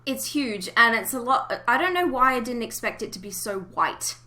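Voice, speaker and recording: A woman's voice: on the high side, expressive, normal speed, pushed and bright. A woman hosting a casual fan podcast, chatting in an informal, giggly way. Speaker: podcast host.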